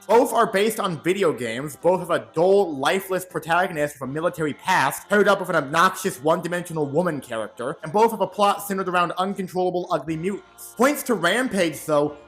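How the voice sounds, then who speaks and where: A young man with a high, bright voice delivering a sarcastic film review. His lines are scripted and dry, with a faint music bed under them. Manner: sarcastic, mock sports-announcer bits. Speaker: movie reviewer. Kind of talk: comedic scripted review narration.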